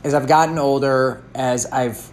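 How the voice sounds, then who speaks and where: A man's voice: medium pitch, fairly even intonation, normal speed, slight background noise. A man in his thirties, a fitness coach, answering a question in an earnest, thoughtful way, often restarting his sentences. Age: 30s.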